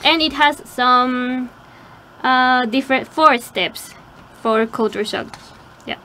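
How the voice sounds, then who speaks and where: A woman's voice: sits high, slow, sharp tone. A young woman who co-hosts a radio talk show and answers briefly and matter-of-factly. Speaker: student co-host.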